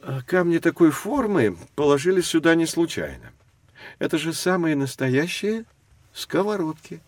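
A man's voice: a little high, very animated, normal speed, dry recording. An actor playing a knowledgeable male professor who explains prehistory to children in a scripted educational audio drama. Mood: patient, explanatory. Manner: explanatory, lecturing. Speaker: educational guide.

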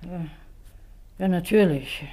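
An actress playing a flustered, defensive woman under questioning in a German radio crime drama, with a halting manner. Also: fairly low pitch, warm tone.

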